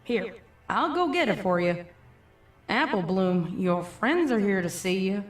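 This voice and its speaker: Woman playing a menacing farm pony: drawling, deliberate, coaxing, heard far off through heavy echo. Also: mid-range voice, expressive, faint music behind, natural voice. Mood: sinister, coaxing. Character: sinister country mare pony, slow and coaxing. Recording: echoing, distant voice.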